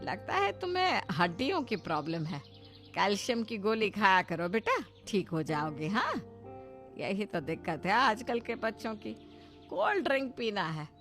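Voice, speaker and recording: A woman's voice: mid-range voice, sing-song, normal speed, fairly bright tone, soft background music. A woman voicing a kindly, old-fashioned older mother-in-law in a cartoon, giving motherly, folksy advice. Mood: motherly advising.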